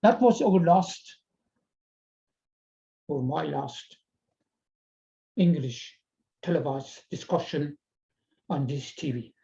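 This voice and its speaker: An older man from Iran, a Farsi speaker with a Persian accent, addressing viewers in an earnest, halting way over a video call. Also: fairly high pitch, lively intonation, gravelly, warm tone. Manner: slow, halting and earnest, with frequent pauses and repeated words. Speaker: TV presenter. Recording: slightly dull video call audio.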